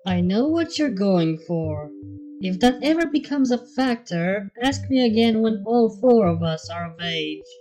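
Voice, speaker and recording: A man voicing a young pony mare, once a male alien, with a dry, deadpan, casual manner in a fan fiction reading. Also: medium pitch, measured pace, natural voice, poor audio. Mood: matter-of-fact.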